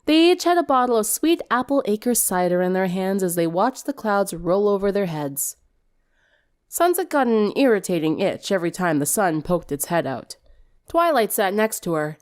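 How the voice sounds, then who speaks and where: A woman reading a story aloud as an expressive narrator. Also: medium pitch, normal speed. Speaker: fan fiction reader.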